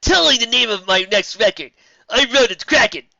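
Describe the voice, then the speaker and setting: A reader doing a loud cocky pony mare. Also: medium pitch, lively intonation, normal speed, vocal fry, no room sound, highs cut off, pitched above the performer's own voice. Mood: boastful. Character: brash, boastful young female pony.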